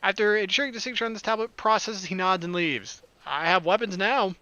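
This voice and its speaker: A man's voice: sits high, a little fast, pushed and bright, no room sound, lo-fi sound. A man reading a visual novel aloud for a let's play, jokey and playful in his narration. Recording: game music behind.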